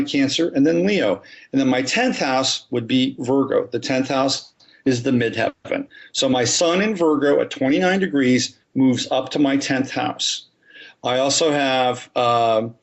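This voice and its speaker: Man, astrologer guest, methodical explainer, mid pitch, muffled lo-fi sound. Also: creaky. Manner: methodical, talks through examples step by step. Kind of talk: explaining in a podcast interview.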